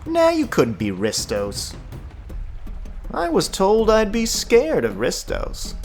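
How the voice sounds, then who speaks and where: A man as a cocky, animated young brawler. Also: high voice, slow, soft background music, heavily acted. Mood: taunting. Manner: cheeky, taunting. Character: cocky young male prisoner, defiant brawler unafraid of thugs. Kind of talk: audio drama acting.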